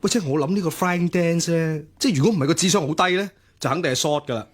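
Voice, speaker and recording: A man's voice: a little high, fast, close to an everyday voice. An actor playing a cynical, teasing young ladies' man in a radio drama, with a Hong Kong Cantonese accent. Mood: teasing, doubtful.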